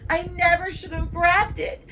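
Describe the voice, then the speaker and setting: A woman's voice: extremely high pitch, big pitch swings, measured pace, a little hiss or hum, pitched above the performer's own voice, heavily acted, poor audio. A woman voicing a fancy, dramatic little girl in a storybook, with a theatrical, exclamatory manner. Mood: tearful, apologetic.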